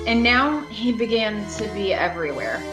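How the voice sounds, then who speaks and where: A woman's voice: medium pitch, lively intonation, moderate pace, close to an everyday voice, rough recording. A woman playing a frightened, desperate lady driver in a radio thriller, urgent and dramatic. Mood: haunted dread. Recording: music behind the voice.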